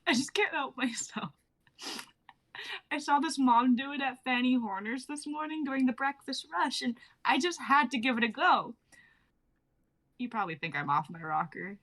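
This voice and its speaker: A woman's voice: sits high, animated, normal speed, fairly bright tone. A young woman playing a bubbly, teasing twenty-three-year-old wife from 1950 in a dramatic reading, breezy and old-fashioned in her phrasing. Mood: playful, warm. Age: young adult.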